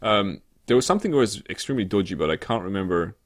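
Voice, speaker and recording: A man's voice: lowish voice, expressive, fast talker. A man co-hosting a show in casual comedic chat, talking in a hesitant, rambling way full of filler sounds. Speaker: co-host of a weekly show. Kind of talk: casual comedic chat about tech news.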